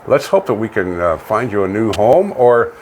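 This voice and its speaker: Low-voiced older Canadian man, noisy recording. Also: sing-song, normal speed, a little mellow. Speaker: co-host of a local online radio vlog. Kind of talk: casual local news chat, partly read aloud. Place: home studio, indoors. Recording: clearly noisy home studio recording.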